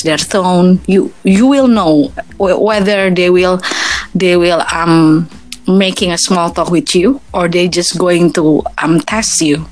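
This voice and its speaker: A woman's voice: on the low side, poor audio. A woman from Indonesia with an Indonesian accent, giving earnest advice in casual English chat, often restarting and repeating words.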